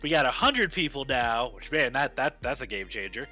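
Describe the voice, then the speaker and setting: A man putting questions in a casual gaming chat, halting and repeating his words, on lo-fi audio. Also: medium pitch, very animated, sharp tone, soft background music. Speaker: gaming show panelist asking questions.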